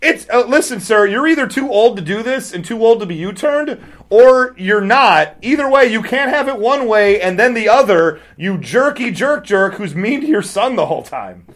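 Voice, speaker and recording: High-pitched man ranting in casual banter. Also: lively intonation, normal speed, fairly bright tone. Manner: hyperbolic, sarcastic, mock-outraged joking. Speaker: podcast host. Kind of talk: casual chat, ranting commentary.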